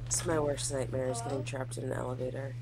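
A woman's voice: extremely low pitch, level tone, fast, noisy background. A woman co-hosting a casual, conversational movie reaction chat. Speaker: co-host.

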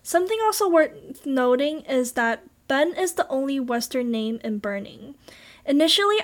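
High-pitched woman, scripted video essay read, steady and even. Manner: steady, read-aloud delivery.

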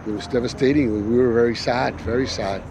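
A man's voice: mid-range voice, fairly even intonation, brisk, over music. A man from Cuba, speaking earnestly and emphatically, repeating words, in an outdoor interview. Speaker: visiting father. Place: outdoors near a memorial, traffic. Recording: outdoor interview with clear traffic noise.

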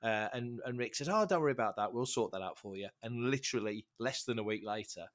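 A middle-aged man with a slightly rough, congested voice from a cold, telling anecdotes casually as a podcast guest. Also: medium pitch, fast talker. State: getting over a cold, congested. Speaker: podcast guest, fan-site writer and interviewer.

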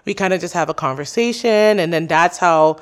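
A woman's voice: lowish voice, fairly quick. Young adult woman, guest on a podcast chat; casual, filler-heavy, open talk. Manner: chatty, many "like" and "you know" fillers. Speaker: podcast host, interview guest.

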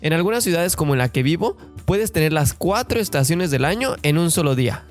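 A man's voice: on the high side, expressive, brisk, faint music behind. A man co-hosting a podcast, chatting casually in a friendly, explanatory way. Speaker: podcast co-host.

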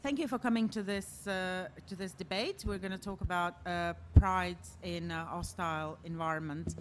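A woman's voice: on the low side, steady tone, moderate pace. A woman from Latvia moderating a live panel discussion, speaking with frequent hesitations and filler sounds. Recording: live event recording, faint background noise.

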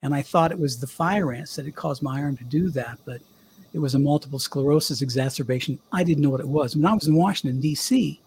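An older man, a former radio DJ, telling stories in a chatty, animated way with a young-sounding voice. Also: a little high, fast, slight background noise. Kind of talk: casual storytelling interview.

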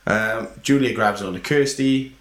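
A man with a hoarse, cold-roughened voice sits low in his range as he recaps a film for a podcast. He talks loosely and swears freely, and now and then he slips into the characters' lines. The room around him rings with echo. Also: a little slow, fairly bright tone. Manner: loose retelling, sweary, acts out bits of dialogue. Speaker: podcast co-host. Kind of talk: casual film recap chat.

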